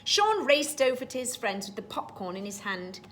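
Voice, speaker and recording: A woman's voice: on the high side, big pitch swings, normal speed, a bit bright. A woman teacher reading a storybook to young children, stopping to explain things in simple words. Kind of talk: storybook read-aloud with explanatory asides.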